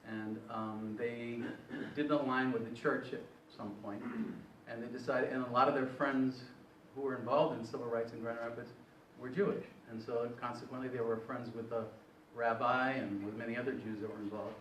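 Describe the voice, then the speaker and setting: A man's voice: mid-range voice, flat delivery, moderate pace, a bit bright, a little hiss or hum. A man in his sixties on a panel, telling his life story in a rambling, self-correcting way. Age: 60s. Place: event hall. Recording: echoey room, far from mic.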